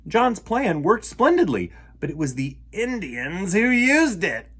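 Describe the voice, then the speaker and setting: A man telling a children's story in an animated, theatrical way, acting out the sound words. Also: high voice, measured pace, husky.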